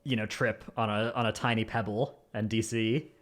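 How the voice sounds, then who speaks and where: A man's voice: on the low side, flat delivery, moderate pace, a bit bright. A man hosting a gaming podcast in a casual, chatty style. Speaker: podcast host.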